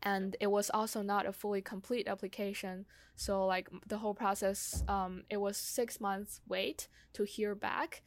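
A young woman speaking casually and personally, pausing often and peppering her sentences with "like". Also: mid-range voice, level tone, no echo. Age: young adult. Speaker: conversation host sharing own experience.

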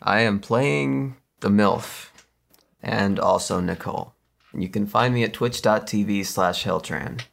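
Casting: female guest voice actor, low register with heavy fry, casual delivery. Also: a little slow. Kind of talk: casual introduction.

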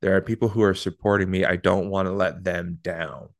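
Deep-voiced man in his thirties. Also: brisk. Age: 30s. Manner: relaxed, chatty, with many repeated starts. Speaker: podcast host. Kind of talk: casual podcast conversation.

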